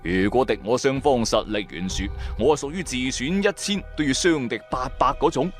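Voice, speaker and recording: A man's voice: mid-range voice, a little fast, faint music behind. A man with a Cantonese accent narrating a scripted first-person audio drama in a dramatic storytelling style.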